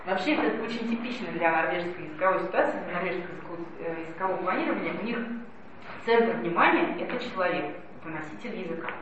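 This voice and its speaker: A woman's voice: lowish voice, animated, fast. A woman giving a spoken lecture, explaining things and addressing her listeners directly. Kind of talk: spoken lecture on language policy. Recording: echoey distant lo-fi hall recording with noise.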